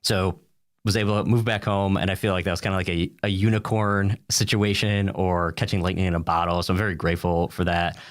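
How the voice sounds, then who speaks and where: Deep-voiced American man, dry close sound. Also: flat delivery, fairly quick, no echo. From United States, upstate New York. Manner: rambling, peppered with "you know" and "like". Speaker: interview guest. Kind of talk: casual conversational interview answers.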